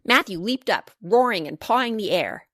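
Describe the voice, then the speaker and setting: A woman reading a children's storybook aloud as scripted narration, expressive and clearly paced. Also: mid-range voice, moderate pace, no room sound, clean studio sound. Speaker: author reading her children's book.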